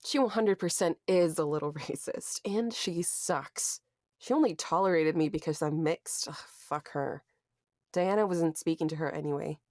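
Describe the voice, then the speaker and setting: A woman's voice: low voice, normal speed, darkish tone, no room sound, clean studio sound. An actress playing a weary, traumatized young woman with a subdued, wry manner in a horror audio drama. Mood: bitter, sad.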